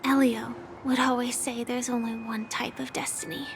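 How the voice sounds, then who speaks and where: An actress playing a gentle, wistful young woman in a video game, soft, breathy and quietly resigned. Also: on the high side, little pitch movement, moderate pace. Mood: earnest, resigned. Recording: game music under the voice.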